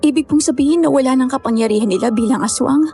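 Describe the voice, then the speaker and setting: A woman's voice: sits high, quick pace, mellow, soft background music. A woman playing a polite, deferential young survivor in a horror audio drama. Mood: puzzled. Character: grateful, questioning young woman, monster survivor.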